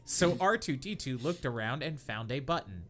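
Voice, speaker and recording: A man's voice: medium pitch, expressive, normal speed, fairly bright tone. A man hosting a comedy read-aloud, stumbling over clumsy prose with incredulous, mocking asides and a tendency to crack up. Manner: breaks into laughter and stumbles while reading. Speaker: podcast host reading aloud. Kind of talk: comic read-aloud of bad fan fiction with asides.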